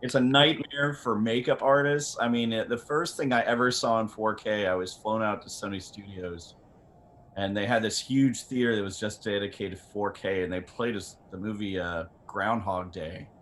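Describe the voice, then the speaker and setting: Lower-pitched man, noisy call audio. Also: steady tone, moderate pace, fairly bright tone. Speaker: camera technology expert on a panel. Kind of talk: informal technical explanation in an online workshop. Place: online video call.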